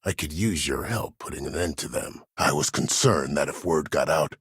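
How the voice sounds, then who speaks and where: An older military mastermind for a video game, with an extremely low, husky voice. He speaks formally and with measured, silky politeness that carries quiet menace. The sound is dry and close. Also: dry recording, heavily acted. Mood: calm, calculating. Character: calculating, courteous, menacing older male military schemer. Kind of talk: dramatic video game voice acting.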